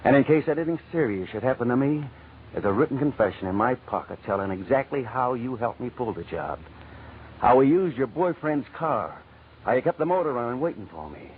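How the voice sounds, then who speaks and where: A man acts out a menacing gambler and killer who bullies and taunts in tough slang. His pitch moves up and down freely in the manner of vintage radio crime drama. The sound is narrow and phone-like, with faint noise. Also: animated, brisk, natural voice. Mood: threatening. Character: menacing male gambler and killer, slyly threatening. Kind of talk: old-time radio crime drama acting. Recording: muffled, phone-like old radio recording, noisy.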